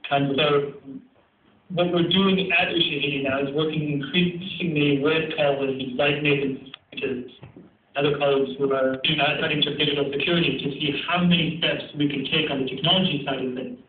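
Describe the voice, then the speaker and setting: A man's voice: a little high, fairly even intonation, fairly quick, a little hiss or hum. A man giving a keynote talk over a video call, then answering questions with many fillers. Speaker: keynote speaker. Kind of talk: remote presentation and Q&A. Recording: tinny distant call audio in an echoey room.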